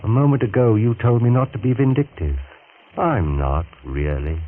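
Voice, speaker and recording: An actor playing a smooth, vindictive middle-aged doctor in an old radio thriller, speaking in a measured, insinuating, menacing way. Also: male voice, medium pitch, expressive, natural voice. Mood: bitter, menacing. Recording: tinny, noisy vintage radio recording.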